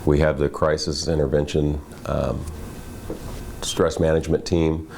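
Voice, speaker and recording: A man's voice: extremely low pitch, level tone, a little slow, gravelly, a little mellow, slight background noise. A man speaking as a department spokesman in a news interview. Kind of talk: interview answers.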